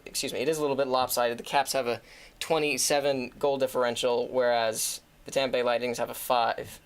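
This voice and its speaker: A man's voice: medium pitch, clean tone. A man announcing a hockey preview on a school news show, casual and self-correcting. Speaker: student sports announcer.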